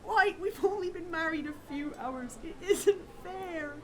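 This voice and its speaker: A woman's voice: extremely high pitch, measured pace. A woman playing a distraught young newlywed in a radio drama, plaintive and melodramatic. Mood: distraught, pleading.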